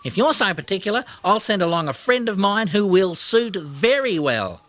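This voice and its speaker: A pert storybook magpie, a man putting her on, talks high and brisk. She is a bossy, self-assured mother bird who is easily cross. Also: big pitch swings, fairly bright tone.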